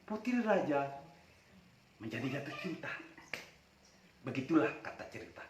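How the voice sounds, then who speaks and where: A man's voice: medium pitch, sing-song, normal speed, lo-fi sound, noisy background. A man telling a folk tale in a dramatic, playful way. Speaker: storyteller. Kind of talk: animated oral folk storytelling. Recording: echoey room, distant from mic.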